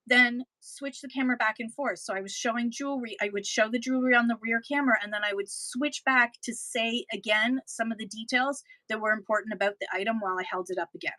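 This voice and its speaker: A woman's voice: fairly high pitch, sharp tone, no room sound, poor audio. A Canadian woman, a livestream seller, chatting casually and practically to her viewers as she gives selling advice. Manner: chatty, practical, with frequent ums and restarts. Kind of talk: casual instructional talk to viewers.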